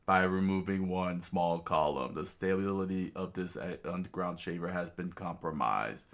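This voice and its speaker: A man voicing a solemn, defiant king with a slow, grave delivery. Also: low-pitched, a bit bright.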